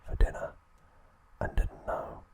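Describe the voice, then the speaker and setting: A man speaks entirely in a whisper, in the style of ASMR narration. He relays trivia like a story, softly and without rushing, keeping the delivery hushed and breath-led. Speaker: ASMR host.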